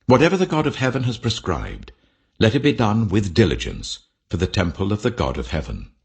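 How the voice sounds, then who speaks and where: A man's voice: lowish voice, huge pitch swings, brisk, a little mellow. A British man voicing an imperious ancient king proclaiming a decree, commanding and stately, in a dramatic scripture audiobook.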